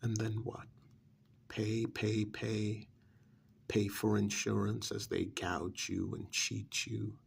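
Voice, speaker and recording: A cynical vampire recruiter in an ASMR role-play acted by a man, speaking in a low voice with heavy gravelly fry. He takes his time, sardonic and persuasive, needling the listener with rhetorical questions. Faint noise sits behind him. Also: little pitch movement, full character voice. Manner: sardonic, rhetorical, drawn-out pauses and prodding questions.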